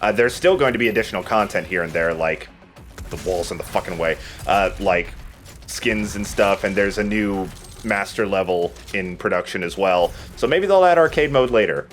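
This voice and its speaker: A man's voice: sits low, moderate pace, a little hiss or hum. A man giving casual, chatty gaming commentary to a friend as he plays. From United States. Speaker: let's play host playing the game.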